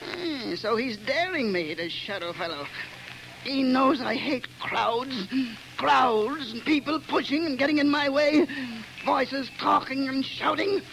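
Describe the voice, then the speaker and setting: A man's voice: very high-pitched, unhurried, creaky, fairly bright tone, a little hiss or hum, full character voice, poor audio. An actor playing an unhinged male bomber who hates crowds, fussy and nervous, in 1930s radio drama style. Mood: obsessive. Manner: nervous, fussy, giggling, rising to frantic.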